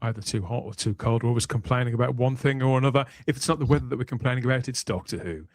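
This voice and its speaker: A man's voice: medium pitch, racing, a little mellow, no room sound, studio quality. A man hosting a casual panel podcast, chatting in wordy, rambling phrases as he presents and introduces guests. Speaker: podcast host.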